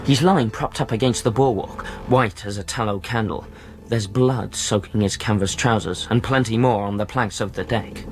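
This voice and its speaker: An actor playing an earnest, plucky young sailor lad in a radio drama, speaking both dialogue and first-person narration. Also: male voice, fairly low pitch, moderate pace, natural voice. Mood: tense storytelling.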